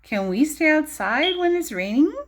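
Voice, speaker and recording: A woman teacher reading a storybook aloud to young children in an expressive, child-directed way, pausing to explain and ask questions. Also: sits high. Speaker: preschool or early primary teacher.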